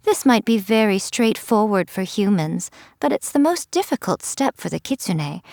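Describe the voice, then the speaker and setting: A woman's voice: medium pitch, big pitch swings, normal speed, creaky, a little mellow, no room sound, studio quality. A woman voicing a sly, teasing fox-spirit shrine priestess, speaking in a playful, wry, mocking tone. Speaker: game character. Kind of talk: scripted game voice lines.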